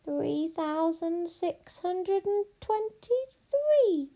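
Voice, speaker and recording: Storybook witch: old, miserly, menacing, high swooping voice, extremely drawn-out delivery. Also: lively intonation, warm tone, exaggerated voice. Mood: gloating, absorbed. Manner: drawn-out, deliberate, disdainful.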